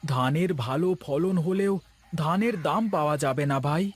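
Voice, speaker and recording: A man's voice: a little high, normal speed, close to an everyday voice. A man voicing a plain-spoken village farmer and husband in a cartoon, in a conversational way. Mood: friendly, practical. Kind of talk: cartoon voice acting.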